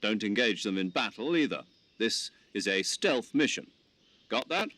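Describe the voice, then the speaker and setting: A man's voice: on the low side, animated, moderate pace, sharp tone, dry recording. An actor playing a stern male army major, giving authoritative, emphatic mission briefings in a video game. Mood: stern, insistent. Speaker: military commander.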